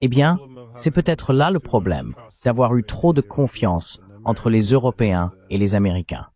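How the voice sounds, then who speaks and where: A man's voice: medium pitch, expressive, moderate pace, warm tone. A man delivering a French voice-over translation of an interview host, laying out analysis in complete sentences.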